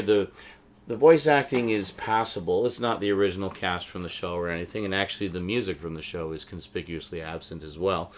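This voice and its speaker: A middle-aged man with a low voice talks casually, like a host showing off a collection. He rambles conversationally, often halting to think aloud as he goes. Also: slight background noise.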